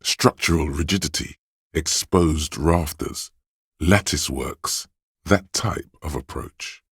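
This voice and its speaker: An actor voicing a man's brooding, tactile inner voice in a game, narrating with husky gravel in a deliberate, dramatic, literary manner. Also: extremely low pitch, fairly bright tone, no echo, studio quality, full character voice. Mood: hushed, wondering.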